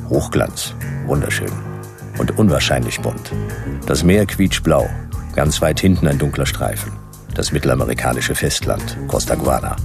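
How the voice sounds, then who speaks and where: A man's voice: a bass voice, gravelly, heavily acted. An actor playing a laconic, sardonic private detective who narrates his case in dry, clipped phrases for a radio play. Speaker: narrator and lead character. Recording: music behind the voice. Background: music.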